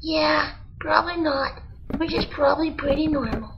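A woman voicing an excitable, childish young male monkey in an old-time radio parody, talking in an impulsive, whiny way. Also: high voice, normal speed, gravelly, background noise. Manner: impulsive, whiny, easily distracted. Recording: muffled lo-fi audio with music.

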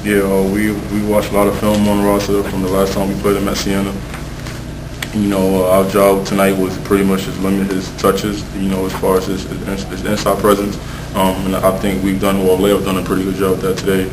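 A young man, a college basketball player, giving halting answers full of fillers at a press conference. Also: low-pitched, monotone. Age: young adult. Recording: distant mic with room noise.

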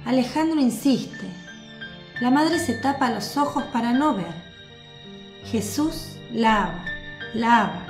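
A woman giving measured, scripted narration for a radio drama. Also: a little high, lively intonation. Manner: measured, descriptive. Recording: echoey with music bed.

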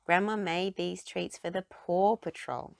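Woman as sweet, expressive young girl. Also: lowish voice, measured pace, gravelly, no room sound. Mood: apologetic. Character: sweet, caring young girl with a pet cat.

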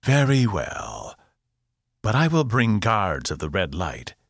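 A man's voice: lowish voice, huge pitch swings, slow pace, creaky, no room sound, studio quality, pitched above the performer's own voice, exaggerated voice. A man voicing a wary, imperious female alien priestess who speaks sharply and formally. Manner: sharp, commanding, archaic.

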